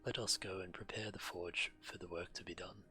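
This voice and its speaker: A man's voice: sits low, level tone, fairly quick, pushed and bright. A man streaming a game, reading quest text aloud and adding casual commentary. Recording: game music behind the voice. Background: game music.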